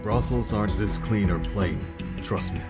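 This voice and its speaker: A man playing a blunt, world-weary squad captain in an audio drama, with a dry, deadpan manner. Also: fairly low pitch, moderate pace, close to an everyday voice. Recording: loud music behind.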